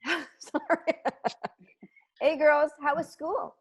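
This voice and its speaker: Suburban TV mom, friendly and attentive, slightly high natural voice, table-read delivery. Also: female voice, slow pace. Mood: cheerful, curious.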